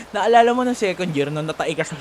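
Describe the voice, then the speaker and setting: A man acting a young Filipino college guy with a fairly high voice that swings freely up and down. He plays the sarcastic school rival in an ASMR roleplay, taunting and bantering casually over a light wash of rain. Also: moderate pace. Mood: teasing. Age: young adult. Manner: taunting, playful, colloquial banter. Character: teasing, sarcastic male college student, bickering school rival. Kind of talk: ASMR boyfriend roleplay, one-sided dialogue acting. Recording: steady rain noise behind voice. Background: falling rain.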